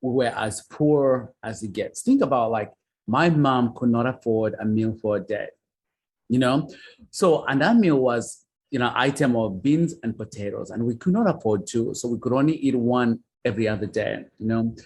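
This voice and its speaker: Earnest male guest, husky mid-range voice, recounting his past in plain, heartfelt speech. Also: mid-range voice, moderate pace, darkish tone. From Uganda. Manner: earnest, leans on "you know". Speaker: guest, author and foster father. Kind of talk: interview storytelling.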